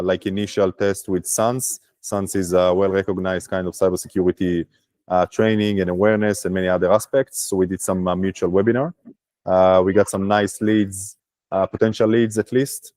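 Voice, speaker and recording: A man from Israel, a startup founder, talking casually on a video call with many pauses and fillers. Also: deep voice, steady tone, darkish tone, no room sound, studio quality.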